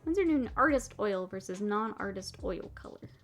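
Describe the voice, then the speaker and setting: A woman's voice: medium pitch, animated, normal speed. Thirties woman, northern US accent with a Texas lilt, chatty streamer painting and reading chat, light music behind. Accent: mixed northern US and Texas. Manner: chatty, reads chat aloud, laughs often. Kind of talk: casual livestream chat while painting.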